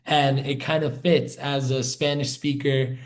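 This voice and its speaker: A man's voice: medium pitch, level tone, unhurried. A man hosting a podcast, casually interviewing and recapping facts in short phrases before he gets to his question. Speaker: podcast host.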